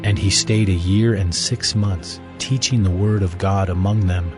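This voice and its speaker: A man narrating scripted audio Bible scripture as a measured, solemn storyteller. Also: sits low, fairly even intonation, music in the background.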